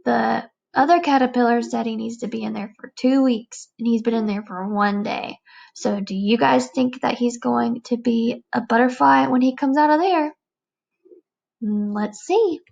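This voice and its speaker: A woman's voice: fairly high pitch, normal speed, creaky, a little mellow, muffled recording. A woman teacher giving a read-aloud lesson for young children, explaining things emphatically and checking understanding. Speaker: elementary teacher reading aloud.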